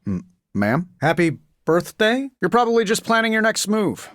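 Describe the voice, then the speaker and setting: An actor voicing a cocky, wisecracking genius inventor superhero in a video game, with an American accent and a sarcastic, glib delivery. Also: male voice, sits high, big pitch swings, moderate pace, a bit bright, no room sound, clean studio sound. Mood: wry banter. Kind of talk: video game character voice lines.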